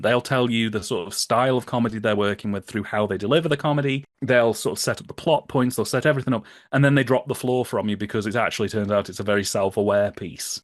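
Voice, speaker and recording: A man in casual conversational commentary, telling anecdotes in an amused, animated way. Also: mid-range voice, no echo, studio quality. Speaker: podcast guest.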